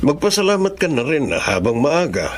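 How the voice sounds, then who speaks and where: An actor playing a principled doctor who gives sober, measured advice in a Filipino melodrama. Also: male voice, a little high, big pitch swings, a little hiss or hum. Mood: consoling. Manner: measured, advising.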